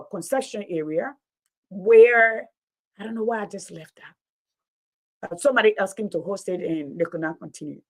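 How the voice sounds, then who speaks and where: A woman's voice: a little high, a little mellow. A woman with a Liberian accent, a teacher and former radio host, giving an emphatic, passionate talk that often repeats words for stress. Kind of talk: impassioned public talk.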